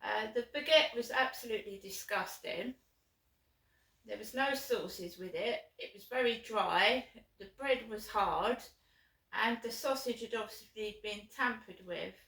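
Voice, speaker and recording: A woman vlogs alone from her flat, her throat a little sore as she rambles slowly through a grievance with plenty of ums. Her voice rings around a bare, echoey room. She sits some way back from the mic. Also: a little high, creaky, recorded from a distance. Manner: rambling, aggrieved, with many ums. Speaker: vlogger. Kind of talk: casual chat to camera. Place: small flat, indoors.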